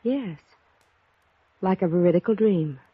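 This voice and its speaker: A woman's voice: on the low side, measured pace, breathy edge, no room sound. An actress playing a poised, earnest young woman, forward yet politely formal, in 1950s radio drama style. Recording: dull vintage radio recording, sometimes telephone.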